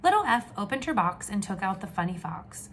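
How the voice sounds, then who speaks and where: A woman teacher reading aloud to young children, with expressive questions and drawn-out emphasis. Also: medium pitch, slight background noise. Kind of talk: children's read-aloud lesson.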